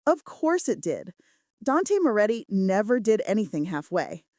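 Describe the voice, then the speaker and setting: A woman's voice: medium pitch, sing-song, a little slow, a little mellow, no room sound, studio quality. A woman reading scripted first-person romance fiction as a story narrator. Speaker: audiobook narrator.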